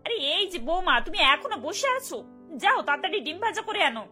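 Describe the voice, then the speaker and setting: A woman's voice: very high voice, sing-song, brisk, sharp tone, soft background music, full character voice. A woman voicing a bossy older mother-in-law in a Bengali cartoon, scolding and fussing over her grown sons. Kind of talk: cartoon voice acting.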